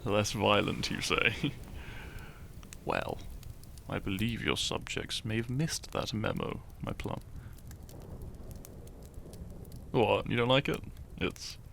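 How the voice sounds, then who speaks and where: A man playing a shy young farmer turned hero in an intimate romantic audio roleplay, tender and teasing, speaking softly and haltingly. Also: fairly low pitch, animated, gravelly, a little hiss or hum, heavily acted. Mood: playful, defensive. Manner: soft, hesitant, halting, sighing and chuckling.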